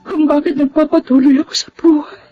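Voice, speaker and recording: An actress playing a lonely, grieving daughter in a radio drama, tearful, halting and pleading. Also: female voice, high voice, fairly even intonation, faint music behind, lightly acted. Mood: sorrowful. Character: grieving lonely daughter who cared for her sick mother. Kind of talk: Tagalog radio drama acting. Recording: dull lo-fi radio drama sound.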